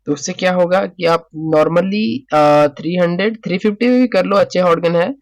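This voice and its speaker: A man with a fairly high voice teaches in a casual, explanatory way, like a technician at the bench. He repeats words, drops in English technical terms and keeps checking in, with a dry, close sound. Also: moderate pace, no room sound. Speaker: phone repair technician and tutor. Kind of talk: casual spoken repair tutorial.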